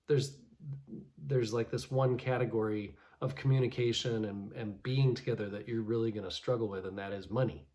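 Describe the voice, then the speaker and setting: Man, pastor, relaxed devotional talk, halting and conversational, mid-pitched voice. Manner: conversational, many restarts and fillers. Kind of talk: casual devotional reflection.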